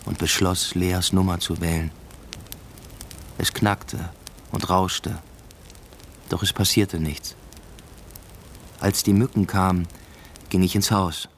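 An actor playing a brooding husband and father, narrating a literary radio drama in a measured, introspective way. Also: low-pitched, slight background noise.

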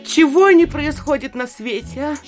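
High-pitched gushing society lady, played by an actress. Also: faint music behind, natural voice. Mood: gushing, agitated. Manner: theatrical, affected, exclamatory with drawn-out words. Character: gushing, affected provincial society lady, a catty gossip. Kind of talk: stage-like audio drama acting of a literary classic.

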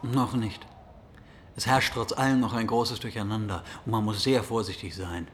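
An actor as a low-voiced wary guide. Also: male voice, fairly even intonation, normal speed, slight background noise, natural voice. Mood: wary, practical. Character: wary, practical male escape guide. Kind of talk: radio drama acting.

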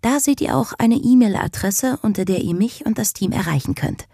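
A woman's voice: mid-range voice, animated, normal speed, warm tone, slightly rough, no echo, clean studio sound. A woman reading a scripted podcast outro in the first person, as the series' heroine. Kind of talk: scripted narration.

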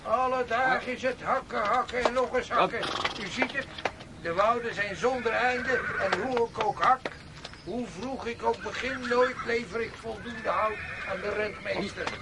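Humble middle-aged woodcutter, high-pitched grumbler, garrulous, radio play with clear background noise. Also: male voice, normal speed. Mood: weary complaining. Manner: plaintive, garrulous. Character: humble, grumbling, easily amazed peasant woodcutter with grown daughter. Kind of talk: Dutch radio drama acting. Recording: noisy radio play with outdoor ambience.

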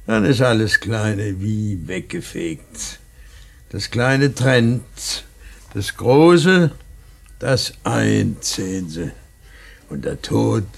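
A man's voice: fairly low pitch, creaky, husky, heavily acted. An actor playing a solemn, tender old father in mourning in a radio play, ponderous and philosophical, repeating his words. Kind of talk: German radio play acting.

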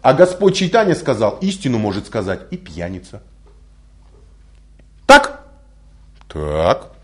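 A man who is not a native Russian speaker giving a spiritual lecture, full of rhetorical questions and lively storytelling. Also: a little high. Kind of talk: recorded religious lecture.

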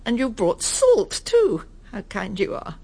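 A woman playing a prim, weary, middle-aged English lady in a radio drama, halting and polite, murmuring to herself with an English accent. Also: on the high side, darkish tone, heavily acted. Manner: polite, halting, breathy murmured self-talk. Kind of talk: radio drama acting, intimate monologue and dialogue.

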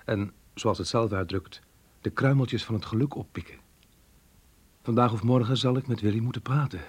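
Very husky, brooding man, played by an actor. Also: medium pitch, normal speed, a little mellow, lightly acted. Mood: troubled, resigned. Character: troubled married man in love, self-reproaching, hesitant. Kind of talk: radio drama diary monologue.

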